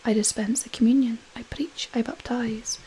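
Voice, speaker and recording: Woman reading as a smooth devil in gentleman's guise, whispery, mellow, polite and insinuating, storybook poem, faint noise. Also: on the high side, fairly even intonation, moderate pace, lightly acted, poor audio. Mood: boastful, sly. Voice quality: soft, whispery. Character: smooth, sly devil posing as gentleman minister.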